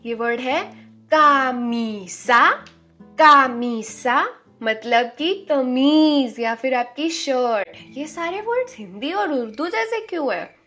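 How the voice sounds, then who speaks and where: A woman from India teaching Spanish in an online lesson. She repeats each word slowly and draws out the sounds. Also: sits high, animated, pushed and bright, faint music behind. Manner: slow, drawn-out pronunciation drills, repeating words.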